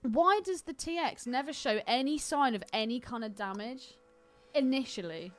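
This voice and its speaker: A woman's voice: high voice, lively intonation, moderate pace, soft background music. A woman co-hosting a podcast, chatting casually about a film with teasing, sweary banter. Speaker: podcast co-host. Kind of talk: casual film commentary chat.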